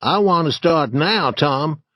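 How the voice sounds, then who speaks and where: A man's voice: on the high side, lively intonation, takes it slowly, fairly bright tone, dry recording, voice pushed up. A man reading a story, voicing a nervous, superstitious young boy who hesitates and stammers. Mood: wary.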